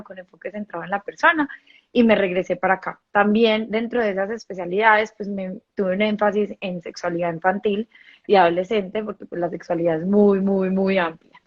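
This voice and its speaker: A woman's voice: medium pitch, fairly even intonation, fairly quick, muffled recording. A woman from Colombia with a paisa accent and a slight Mexican touch, a sexologist explaining her work at length as a guest in an online live interview.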